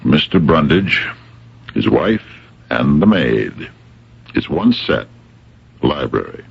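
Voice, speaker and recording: An actor playing a dogged, plain-spoken police captain who questions in a halting, probing way, in a radio drama. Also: male voice, extremely low pitch, big pitch swings, vocal fry, slightly rough, exaggerated voice. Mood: methodical. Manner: halting, probing, deceptively bumbling. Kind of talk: 1970s-80s radio drama acting. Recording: dull lo-fi old radio recording.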